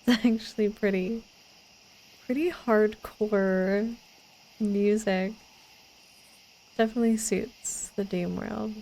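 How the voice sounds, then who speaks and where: A woman's voice: mid-range voice, fairly even intonation, slow pace, long pauses, mellow, poor audio. A young woman streaming a game, chatting and giggling as she rambles about what she sees. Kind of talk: casual gameplay commentary.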